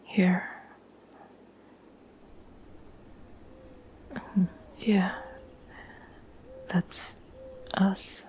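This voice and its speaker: A woman playing a tender, devoted girlfriend in a whispered ASMR roleplay, speaking softly and gently with earnest, halting reassurance. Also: sits low, slight background noise, heavily acted, poor audio. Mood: tender, lightly teasing. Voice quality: soft, breathy whisper.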